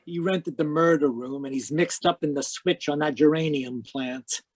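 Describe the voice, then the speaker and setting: A man's voice: fairly high pitch, normal speed, darkish tone, dry recording, close to an everyday voice. Man playing a wisecracking noir detective, lightish voice. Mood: wry, brooding. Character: wisecracking, world-weary hardboiled male private detective. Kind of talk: 1940s radio noir acting, first-person narration. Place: home room, live video call performance.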